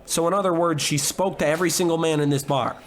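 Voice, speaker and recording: A man's voice: a little high, steady tone, quick pace, close to an everyday voice. A man playing a hapless, hard-drinking, cocky private detective in a comedic old-time radio noir drama, given to wisecracks and melodramatic monologue. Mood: stubborn, brash. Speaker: narrator and lead actor.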